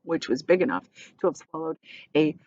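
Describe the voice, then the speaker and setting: A woman's voice: sits low, very animated, moderate pace, a little mellow, no echo, muffled recording. A woman reading a children's book aloud as a teacher would, expressively, adding explanations for her listeners.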